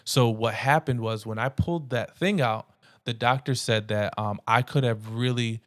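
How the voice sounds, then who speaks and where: An earnest young man tells his own story on a podcast, talking casually and catching himself mid-sentence. His voice is smooth and resonant, recorded close and dry with no room sound. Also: mid-range voice, moderate pace, no echo. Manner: earnest, conversational, animated retelling with frequent restarts. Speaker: podcast host. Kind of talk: personal storytelling.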